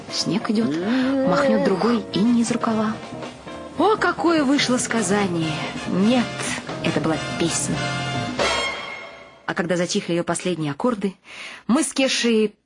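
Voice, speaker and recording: Wry female writer, played by an actress, radio play storytelling, mid-range voice, expressive, music underneath. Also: medium pitch, normal speed, close to an everyday voice. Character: wry, ironic woman writer narrating her married life.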